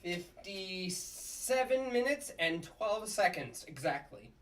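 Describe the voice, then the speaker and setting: A performer acts out a cynical puppet prosecutor in a sitcom script. The delivery is unhurried and blunt, full of sardonic wisecracks. The voice sits back from the microphone. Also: mid-range voice, big pitch swings, fairly bright tone, recorded from a distance. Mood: wry. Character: gruff wisecracking cynical puppet district attorney. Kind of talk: comedy script table read.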